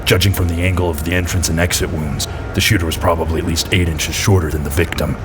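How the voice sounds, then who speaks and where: A low, deadpan detective voice acted by a man, grandiose yet bumbling, in a hardboiled comedy audio drama. He sounds like a dim gumshoe who thinks he is the best, over clear background noise. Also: fast talker, close to an everyday voice. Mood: deadpan narrating. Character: deluded, dim, self-important male private detective. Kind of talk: hardboiled detective parody narration and dialogue. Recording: rough, clearly noisy background.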